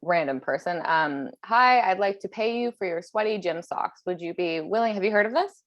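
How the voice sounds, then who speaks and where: A woman chatting casually as a podcast host, joking and self-deprecating, quick to laugh. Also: lowish voice, dry recording, dull audio. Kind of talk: casual chat.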